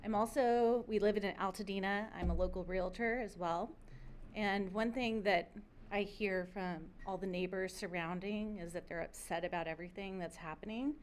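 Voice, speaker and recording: A woman's voice: medium pitch, fairly even intonation, moderate pace, a little hiss or hum. A woman, a parent and local realtor, giving a brief, matter-of-fact public comment at a city planning meeting. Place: council chamber podium.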